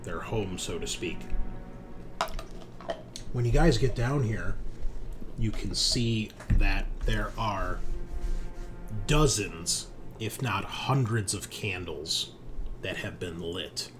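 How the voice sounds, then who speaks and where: A man narrating a tabletop roleplaying game as game master, improvising in a halting way and pausing mid-sentence to think. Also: on the low side, over music.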